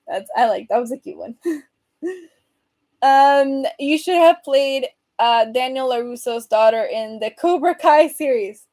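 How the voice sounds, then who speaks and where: A young woman from Venezuela speaks with a Venezuelan accent and a warm, soft tone that sits a little high. She chats loosely with her viewers, reading their comments aloud, with lots of fillers and laughs. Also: expressive, slow pace. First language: Spanish. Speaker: host. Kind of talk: casual livestream chat.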